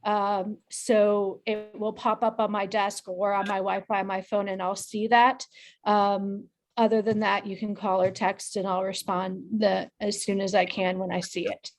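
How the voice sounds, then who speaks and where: A woman with a fairly flat, creaky delivery makes casual announcements to a group on a video call. She explains things in a matter-of-fact way. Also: medium pitch, a little slow. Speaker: production coordinator making announcements.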